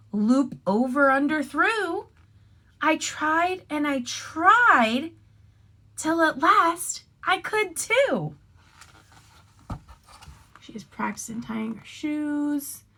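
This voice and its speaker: Female teacher, higher voice, unhurried pace, expressive picture-book read-aloud with casual instructive asides for young kids.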